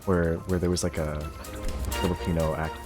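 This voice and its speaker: A man casually commenting while playing a game, gasping and jumping high in pitch when surprised. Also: flat delivery, normal speed. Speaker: streamer. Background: game music.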